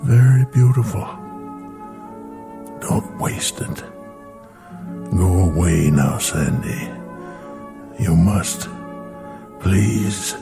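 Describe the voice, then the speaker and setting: Casting: elderly astronaut, very low voice, grave and resigned, vintage TV drama, music behind. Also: male voice, exaggerated voice. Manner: halting, wistful, grave. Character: weary, aged male space pilot, lonely and resigned. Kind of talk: mid-century television drama acting.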